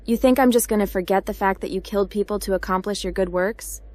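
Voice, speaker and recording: A woman's voice: mid-range voice, fast talker, a little mellow, natural voice. A woman voicing a tough, sardonic young female hacker captain in a roleplay game series, dry and curt. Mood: cold, defiant. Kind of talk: voiced roleplay game protagonist.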